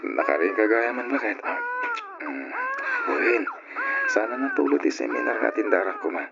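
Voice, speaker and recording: An actor with an Ilocano accent playing an evasive, defensive husband in an old-style radio drama, on a muffled, noisy recording. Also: male voice, mid-range voice, wildly swooping pitch, moderate pace, vocal fry. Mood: defensive, flustered.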